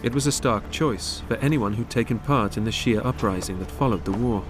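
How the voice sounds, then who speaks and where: A man narrating a documentary from a script in a measured, grave manner. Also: on the low side. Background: music under the voice.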